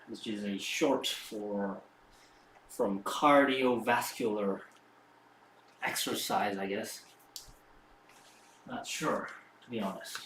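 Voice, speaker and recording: A man who is not a native English speaker, rambling and thinking aloud after a home workout, with many halting restarts. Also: fairly low pitch, a bit bright. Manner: rambling, halting, self-reflective. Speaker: fitness streamer. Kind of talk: casual musing after a workout. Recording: roomy echo, distant mic, faint background hum.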